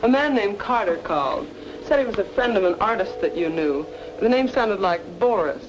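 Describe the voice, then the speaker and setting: An actress playing a brisk, helpful young office secretary in an old radio drama. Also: female voice, medium pitch, animated, close to an everyday voice. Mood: businesslike. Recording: muffled, noisy old radio recording.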